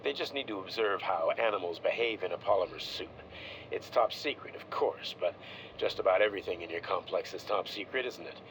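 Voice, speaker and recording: An actor playing a discreet Soviet official in a video game, asking favours in a casual, understated way. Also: male voice, sits low, fairly quick, fairly bright tone, slight background noise, low-quality recording. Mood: confiding. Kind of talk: video game recorded-message acting.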